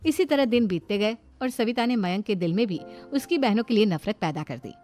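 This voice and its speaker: A woman's voice: mid-range voice, animated, fairly quick, a little mellow, soft background music. A woman storyteller reading scripted narration for a cartoon moral tale.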